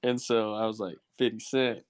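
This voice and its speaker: A man's voice: on the low side, fairly even intonation, moderate pace, smooth. A man, hosting a podcast and chatting casually. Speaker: podcast host. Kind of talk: casual chat.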